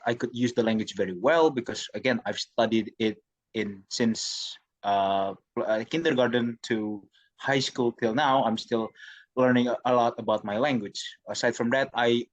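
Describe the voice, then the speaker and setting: A man's voice: medium pitch, no echo, muffled recording. A young man from Jakarta with an Indonesian accent, a university student answering interview questions in a hesitant way, often repeating his phrases. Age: young adult.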